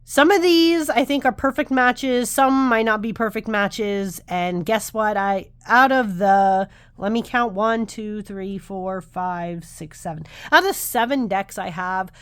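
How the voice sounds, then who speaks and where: A woman in her thirties talks casually to camera as a tarot vlogger, her voice a little nasal as she gets over the flu. She rambles and interrupts herself as she goes, at a middling pitch. The sound is dry and close, with no room echo. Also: unhurried, few pauses, clear, resonant tone. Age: 30s. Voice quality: slightly nasal. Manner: chatty, rambling, self-interrupting. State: recovering from flu.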